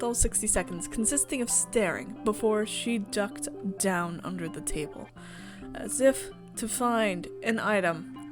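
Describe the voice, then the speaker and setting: A woman reading a visual novel aloud as a streamer, mixing story narration with chatty asides. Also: mid-range voice. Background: music.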